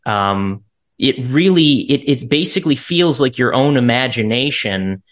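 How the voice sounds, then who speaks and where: A man's voice: medium pitch, a bit bright. A man giving reflective interview answers on a video call as an actor, searching thoughtfully for his words.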